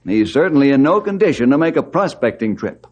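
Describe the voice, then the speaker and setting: An actor playing a steady, firm head forest ranger in an old-time radio western. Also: male voice, medium pitch, fairly quick, lo-fi sound, natural voice. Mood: businesslike. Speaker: ranger leader. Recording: old radio drama recording.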